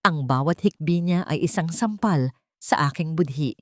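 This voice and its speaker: A woman's voice: low-pitched, moderate pace, no room sound, studio quality. A woman reading a dramatic scripted story as its narrator.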